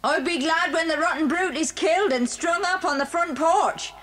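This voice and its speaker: A woman's voice: very high voice, normal speed, fairly bright tone. An actress playing a big, complaining, grudgingly obedient farmhouse servant woman in a children's audio drama. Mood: spiteful.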